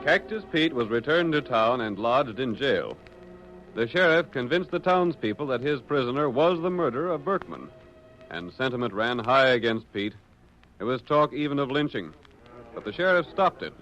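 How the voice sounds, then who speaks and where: A man's voice: medium pitch, animated, moderate pace, fairly bright tone, soft background music. A man delivering scripted, dramatic narration in vintage radio style. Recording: dull old radio recording.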